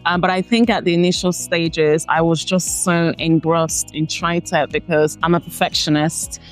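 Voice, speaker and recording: A woman's voice: on the low side, flat delivery, moderate pace, soft background music, poor audio. A woman with a Nigerian accent, a company founder speaking as an interview guest in an emphatic, encouraging way. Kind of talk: motivational interview talk.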